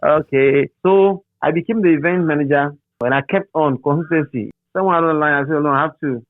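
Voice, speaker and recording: A middle-aged Ghanaian man reminiscing as an interview guest in a halting, repetitive, animated storytelling manner. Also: a little high, dry recording. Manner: halting, repeats words and phrases, animated storyteller. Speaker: interview guest, radio DJ and presenter. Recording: thin phone-like sound.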